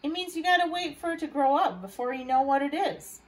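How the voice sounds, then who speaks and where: A woman reading a storybook part of a wise, gentle older woman gardener who talks in folksy, reassuring phrases. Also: high voice, expressive, brisk, pitched above the performer's own voice. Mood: fond, plainspoken.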